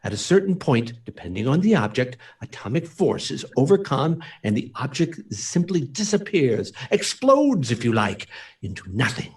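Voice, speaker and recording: A man's voice: medium pitch, very animated, normal speed, close to an everyday voice. A man acting an earnest, principled scientist-inventor in an old-time radio play, speaking in a formal, emphatic, theatrical way. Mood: proud explaining.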